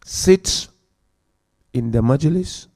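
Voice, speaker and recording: A man giving a Muharram religious lecture, counting off numbered points, chanting Quran verses quickly, and swinging between loud emphasis and quiet asides. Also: a little high, lively intonation. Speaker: Muharram majlis speaker.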